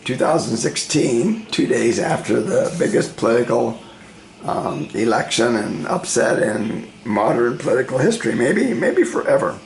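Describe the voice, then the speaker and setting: Hoarse man with laryngitis, gravelly, halting interviewer, roomy home recording. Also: medium pitch, lively intonation, slight background noise. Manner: halting, with frequent fillers. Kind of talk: family oral history interview. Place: room in a ranch home.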